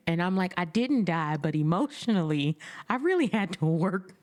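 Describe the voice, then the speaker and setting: A woman's voice: on the low side, moderate pace. A woman, co-hosting a podcast and telling stories with laughs and quoted voices. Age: 20s. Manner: laughing, storytelling, animated quoting. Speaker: podcast co-host. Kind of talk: casual chat.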